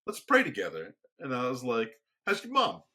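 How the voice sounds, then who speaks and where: A soft-spoken man co-hosting a casual podcast, rambling with frequent restarts. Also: huge pitch swings, normal speed. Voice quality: booming when raised. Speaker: podcast co-host. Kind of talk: casual conversation. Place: home studio, remote recording.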